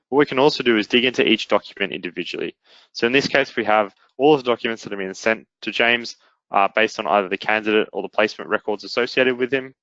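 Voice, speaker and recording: A man presenting a software product in a webinar, explaining it step by step in an instructional way. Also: fairly low pitch, no room sound, dull audio. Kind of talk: explanatory webinar demo narration.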